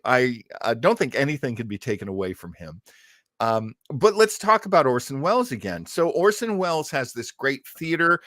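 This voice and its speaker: A man's voice: mid-range voice, fairly bright tone, no echo. A man hosting an online show, talking casually and explaining things as he thinks aloud, on a close microphone. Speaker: host of an online radio-history show. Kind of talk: casual discussion and commentary.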